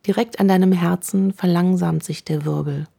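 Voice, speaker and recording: A woman guides a meditation in a slow, soothing voice, warm and mellow in tone. Her pitch sits a little low. Her delivery stays calm and affirming. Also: vocal fry.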